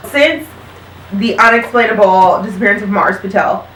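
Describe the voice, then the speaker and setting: A woman's voice: medium pitch, fairly even intonation, moderate pace, fairly bright tone, a little hiss or hum. A woman in her thirties hosting a casual family chat show in an upbeat, chatty way. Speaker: host. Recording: roomy sound from a distant mic.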